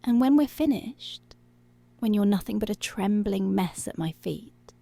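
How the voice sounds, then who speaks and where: A woman playing a dominant, seductive policewoman in an intimate ASMR roleplay, breathy and deliberate, often dropping to a whisper. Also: mid-range voice, expressive, mellow, no room sound. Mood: commanding, teasing. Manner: slow, deliberate, commanding.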